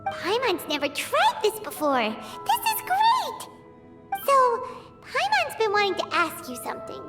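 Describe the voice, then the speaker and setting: A woman playing a small, childlike fairy companion in a video game, squeaky and excitable, often exclaiming. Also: measured pace, heavily acted. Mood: amazed, excited. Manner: refers to self in third person, exclaiming. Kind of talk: video game character acting. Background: background music.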